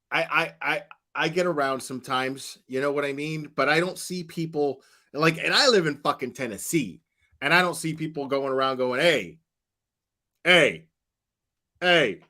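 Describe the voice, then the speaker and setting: A man's voice: medium pitch, fairly bright tone. An American man giving casual, slangy live reaction commentary in a mocking, informal way as a streamer.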